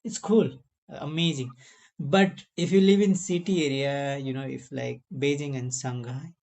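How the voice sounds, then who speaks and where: A man's voice: medium pitch, steady tone, measured pace, creaky, darkish tone. A young man from Nepal, hosting a casual video chat in simple, broken English with emphatic bursts. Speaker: channel host.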